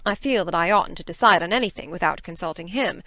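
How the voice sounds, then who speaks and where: A woman playing a stern, practical middle-aged spinster farm woman in an audiobook drama, crisp and scolding in manner. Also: lowish voice, quick pace, a bit bright, no echo. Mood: measured, hesitant.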